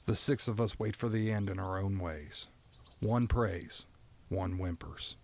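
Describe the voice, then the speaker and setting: A man playing a stoic, resigned prisoner who narrates an audio drama in a somber, deliberate, poetic storytelling manner. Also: low voice, creaky. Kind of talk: scripted first-person dramatic narration.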